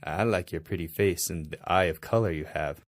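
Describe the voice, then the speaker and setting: Man doing a deep-voiced brawny stallion. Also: brisk, no echo, clean studio sound, lightly acted. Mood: earnest. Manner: deep, simple, earnest. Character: big, muscular, bashful male farm pony.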